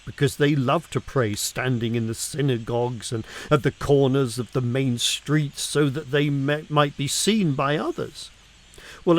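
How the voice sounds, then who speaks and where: A man reading scripture aloud in an expressive, dramatic way, deliberate and emphatic, with weighted pauses. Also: fairly high pitch. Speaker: reader of scripture.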